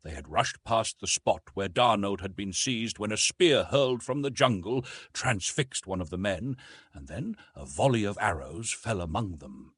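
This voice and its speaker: A man narrating a classic adventure novel in an expressive, theatrical storytelling manner. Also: lowish voice, breathy edge, no room sound, studio quality. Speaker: audiobook narrator.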